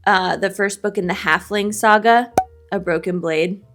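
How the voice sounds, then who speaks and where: A woman's voice: medium pitch, moderate pace, creaky, music in the background. A woman chatting casually and animatedly with a friend as a co-host, giving opinions in a playfully indignant, slangy way. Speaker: co-host of a bookish video show.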